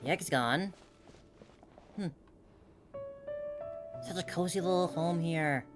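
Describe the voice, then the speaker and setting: Low-voiced woman musing over a game. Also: slow pace. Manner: musing to self, murmured reactions. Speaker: game streamer. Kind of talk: casual playthrough commentary. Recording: clear game music behind voice. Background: game music.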